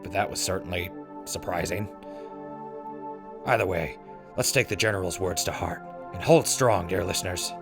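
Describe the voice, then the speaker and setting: A man's voice: low voice, creaky. A man playing a wry, easygoing radio host presenting a show in a post-apocalyptic audio drama.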